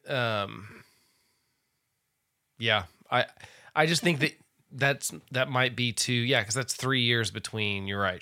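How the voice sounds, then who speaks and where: A man hosting a casual music podcast, rambling and restarting as he tells animated stories. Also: lowish voice, gravelly. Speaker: podcast host. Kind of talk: casual chat about music.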